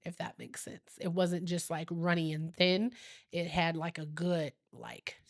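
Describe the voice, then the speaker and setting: A woman talks in a relaxed, rambling way as a podcast co-host, often laughing. Her voice sits a little low and sounds a bit stuffy from a sinus infection. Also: a little slow, creaky. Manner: chatty, rambling, laughs easily. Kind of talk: casual chat.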